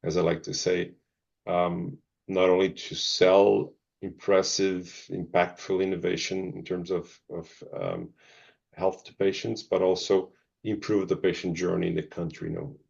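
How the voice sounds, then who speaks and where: Deep gravelly man. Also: a little slow, darkish tone. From Zimbabwe, Portuguese family. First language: Portuguese. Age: middle-aged. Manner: hesitant, many ums and restarts. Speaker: pharma executive guest. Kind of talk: thoughtful interview answers. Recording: dull lo-fi audio.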